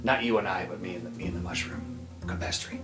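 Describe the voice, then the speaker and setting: A man playing a reluctant, kindly student squad leader in a tabletop game, hesitant and deadpan. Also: low voice, fast talker, pitched below the performer's own voice, lightly acted. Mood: concerned, awkward. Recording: echoey room, music behind.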